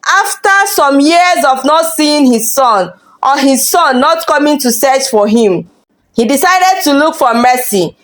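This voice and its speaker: A woman's voice: high-pitched, lively intonation, a little slow, a bit bright, poor audio. A woman narrating a scripted dramatic folktale as a storyteller.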